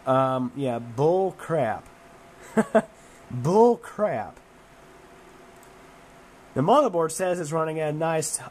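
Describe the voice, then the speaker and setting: A hoarse man getting over a cold, talking casually about his computer in a home room warmed by a wood stove. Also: a little high, slight background noise. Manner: rambling, many fillers and restarts, chuckling. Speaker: computer hobbyist vlogger. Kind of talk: casual tech vlog.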